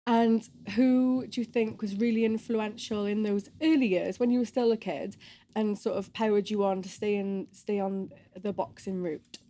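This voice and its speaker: Mellow-voiced woman podcast host. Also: medium pitch, steady tone, moderate pace. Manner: scripted-sounding introductions, gentle prompting questions. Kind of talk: interview questions.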